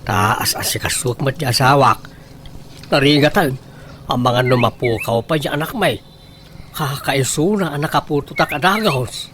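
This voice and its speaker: A man's voice: mid-range voice, moderate pace, background noise, close to an everyday voice. An actor playing an apologetic, pleading husband and father in an Ilocano comedy radio drama.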